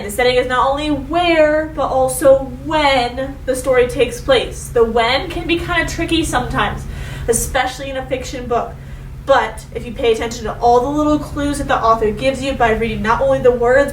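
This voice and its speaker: A woman schoolteacher giving a read-aloud lesson to young pupils, speaking instructively and directly, with expressive emphasis. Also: sits high. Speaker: elementary school teacher. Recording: roomy, distant mic with faint noise.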